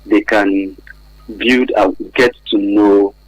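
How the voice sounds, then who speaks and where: A man's voice: fairly low pitch, fairly even intonation, slow pace, poor audio. A man with a Nigerian accent giving hesitant, explanatory answers as an expert guest in a radio interview. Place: radio studio.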